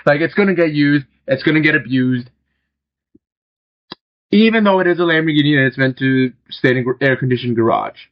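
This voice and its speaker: A young man with a slightly high voice talks his way through a car-building game, loose and rambling, with casual swearing thrown in. The sound is dry and close, with a somewhat dull, muffled tone. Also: fairly quick, no room sound. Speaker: gaming channel host. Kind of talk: casual gameplay commentary.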